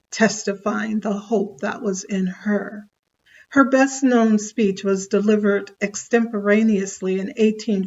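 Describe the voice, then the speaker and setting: Woman reading a life story out slow, muffled lo-fi sound. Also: medium pitch, a little mellow. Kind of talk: read-aloud biographical narration.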